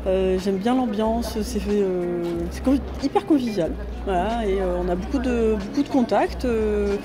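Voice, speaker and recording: A woman's voice: medium pitch, moderate pace. A woman giving a casual interview testimonial as a coach and exhibitor. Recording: music bed under the voice.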